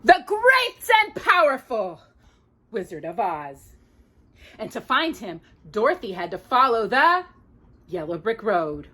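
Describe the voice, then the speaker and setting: A woman telling a children's story in an expressive, dramatic manner, drawing out key words. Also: a little high, takes it slowly. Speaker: storyteller, mother reading to her daughter. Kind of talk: children's storytelling with bits of song.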